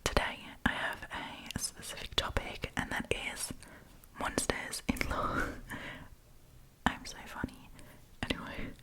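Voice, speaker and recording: A woman hosting an ASMR storytime, reading and chatting in a soft, breathy whisper with a gossipy, friendly manner. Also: slow. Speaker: host of a storytime channel.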